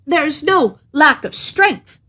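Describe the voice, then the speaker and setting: A woman's voice: high-pitched, animated, slow, bright, forceful tone, voice pushed up, full character voice. A woman voicing a cheerful, boastful little male tailor in a storybook, with a jaunty, emphatic delivery. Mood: boastful taunting.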